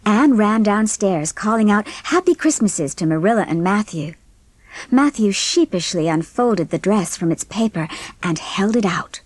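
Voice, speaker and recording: A woman narrating an audiobook story in an expressive, scripted storytelling manner. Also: medium pitch, a little slow, dry recording.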